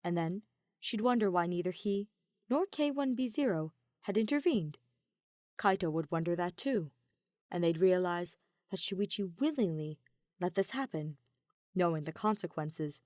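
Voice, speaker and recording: A woman's voice: fairly low pitch, expressive, moderate pace, a little mellow, no room sound. Woman reading a dramatic story, dry recording. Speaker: podfic reader. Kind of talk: dramatic audiobook narration of fan fiction.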